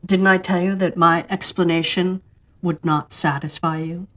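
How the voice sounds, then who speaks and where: A woman voicing a wry, composed male judge in a storybook reading, with a measured, knowing manner. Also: on the low side, steady tone, a bit bright, natural voice. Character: wry, smiling male judge, severe and unruffled.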